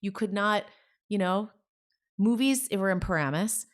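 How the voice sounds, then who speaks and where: An enthusiastic woman in her forties, talking as a guest in a relaxed interview. She gushes about what she loves and grows animated as she goes, with a voice of middling pitch. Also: unhurried. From New Jersey. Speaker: interview guest, story salon founder. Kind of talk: casual conversation.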